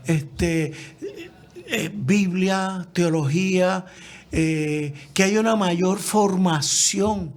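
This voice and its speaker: A man's voice: high-pitched, a little mellow. A man hosting an interview, summarizing his guest's points and adding brief affirmations. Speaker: host. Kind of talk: conversational interview.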